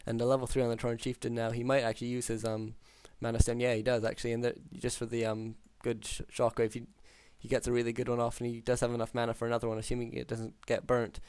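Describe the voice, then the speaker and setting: A man calling a strategy game match alone, talking through plays with many ums, his voice hoarse after hours of casting. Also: mid-range voice, fast talker, hardly any pauses, dry recording. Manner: steady, filler-heavy analytical play-by-play. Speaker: game caster. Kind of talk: solo esports play-by-play commentary.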